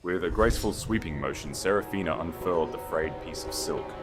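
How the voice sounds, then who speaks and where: A man's voice: low voice, fairly quick. A man reading a scripted fantasy romance as a dramatic audiobook narrator, with music behind the narration.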